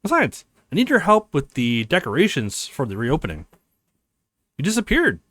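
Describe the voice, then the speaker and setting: A man's voice: on the high side, huge pitch swings, normal speed, fairly bright tone, no echo, clean studio sound, exaggerated voice. Man voicing a hurt, reproachful young woman.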